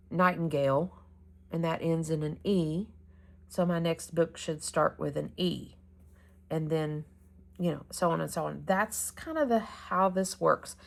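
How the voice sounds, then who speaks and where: Woman vlogger, lowish gravelly voice, rambling casual chat, thinks out loud. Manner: rambling, thinking aloud with many restarts. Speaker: reading challenge vlogger. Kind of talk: casual planning chat about a reading challenge.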